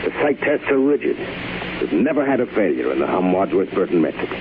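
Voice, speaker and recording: Anxious earnest male aide, deep voice with lively swings, vintage radio drama. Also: a little fast, narrow, tinny audio. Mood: baffled. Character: worried, earnest male deputy pleading with his chief. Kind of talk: old-time radio drama acting. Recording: thin, noisy old radio recording.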